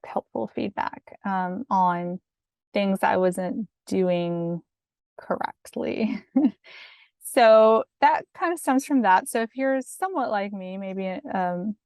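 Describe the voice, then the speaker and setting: A calm, soft-spoken woman giving chatty career advice over slides, with fillers and little laughs. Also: mid-range voice, clear, resonant tone, no room sound, studio quality. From family from Mexico. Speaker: academic career coach. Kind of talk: casual instructional talk over slides.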